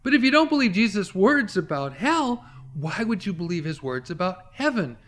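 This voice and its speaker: A man in his fifties speaks as a Bible teacher addressing the camera. His voice sits a little high and swings widely in pitch. He explains and preaches emphatically, pausing dramatically to stress key words. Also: very animated, a bit bright. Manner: emphatic, dramatic pauses for effect.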